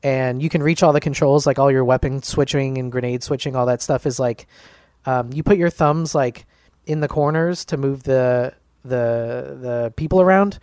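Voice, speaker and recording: A man's voice: mid-range voice, moderate pace, a little mellow, no room sound, clean studio sound. A man co-hosting a gaming podcast in casual chat, upbeat and full of "like" and "um" fillers. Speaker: podcast co-host.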